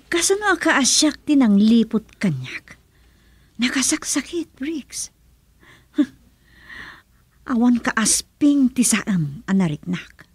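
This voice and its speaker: A woman's voice: a little high, sing-song, slow pace, long pauses, breathy edge, no echo, heavily acted. A woman with a Filipino accent playing a hurt, indignant young woman in a radio drama. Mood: hurt, tearful.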